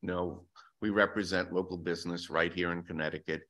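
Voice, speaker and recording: A man's voice: sits low, fairly even intonation, a little fast, fairly bright tone. A man in his sixties testifying at a legislative hearing for a business group, hesitant and a little defensive, speaking into a desk microphone in a hearing room. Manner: hesitant, many fillers and restarts, defensive.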